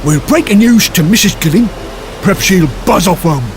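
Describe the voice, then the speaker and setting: A man's voice: high voice, expressive, moderate pace, noisy background. A man voicing a mean, scheming dwarf villain in an audiobook, muttering and impatient. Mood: grumbling. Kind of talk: audiobook character voice.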